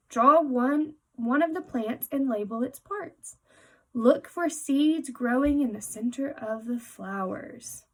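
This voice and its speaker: Woman, high voice, slightly husky and breathy, unhurried, children's read-aloud, explanatory asides. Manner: explanatory, addressing young listeners directly.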